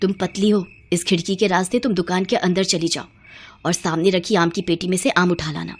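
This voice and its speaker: A woman's voice: fairly low pitch, fairly even intonation, a little fast, natural voice. A woman voicing an exasperated middle-aged mother-in-law, grumbling and long-suffering, in a cartoon story. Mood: conspiratorial.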